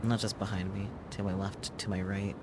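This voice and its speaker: A man's voice: low-pitched, level tone, normal speed, very noisy. A man streaming a visual novel, reading the game's text aloud as dramatic narration. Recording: loud music behind the voice.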